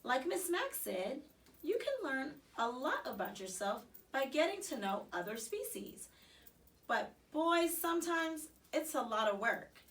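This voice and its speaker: A woman voicing a small, earnest, excitable pet hamster in a chatty, emphatic storybook manner. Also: sits high, measured pace, far from the mic, voice pushed up, exaggerated voice. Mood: wry, emphatic.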